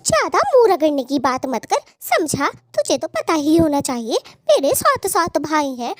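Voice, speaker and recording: Scheming, sharp-tongued cartoon bird wife, played by a woman: very high, animated, scolding. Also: moderate pace, dry recording. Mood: angry, scolding. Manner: scolding, threatening. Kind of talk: Hindi cartoon voice acting.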